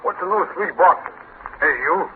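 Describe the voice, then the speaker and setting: An actor playing a cocky, menacing train robber with an American Western drawl, swaggering and folksy in old-time radio style. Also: male voice, sits high, measured pace. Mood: excited. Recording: noisy, narrow-band vintage radio broadcast.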